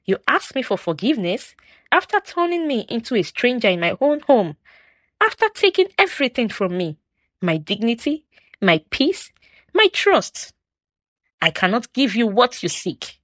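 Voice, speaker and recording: A woman voicing a sorrowful, dignified wronged wife in a folktale, speaking in a quiet, pained and measured way. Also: fairly high pitch, big pitch swings, no echo, pitched above the performer's own voice. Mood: quiet bitterness.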